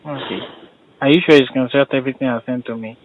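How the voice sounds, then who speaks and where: A man's voice: mid-range voice, steady tone, fast talker. A man with a Nigerian accent speaks casually in short, probing questions and instructions. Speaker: questioner in a private phone conversation. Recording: muffled lo-fi recorded phone call.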